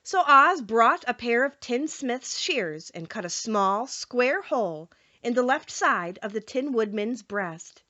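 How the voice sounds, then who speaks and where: A woman narrating a children's story from a script in an expressive storytelling manner. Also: on the high side, slow, no echo, muffled recording. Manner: expressive, clearly paced storytelling. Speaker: audiobook narrator.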